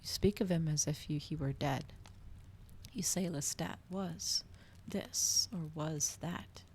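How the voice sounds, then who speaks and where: An eager young male interviewer, voiced by a woman in a gothic storybook. He is curious and a little nervous, pressing with questions in a low, creaky voice. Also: slow pace, darkish tone, slight background noise. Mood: questioning.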